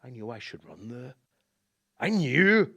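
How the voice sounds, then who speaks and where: An actor playing a haunted former cab driver telling a grim memory in a radio play, halting and emotive. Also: male voice, mid-range voice, sing-song, creaky, fairly bright tone, husky, lo-fi sound, heavily acted. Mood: anguished. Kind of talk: dramatic radio play monologue.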